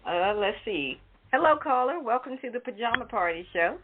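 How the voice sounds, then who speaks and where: A woman's voice: a little high, lively intonation, moderate pace, background noise. A woman hosting a casual talk show, moderating the panel and taking calls. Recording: tinny telephone-like sound.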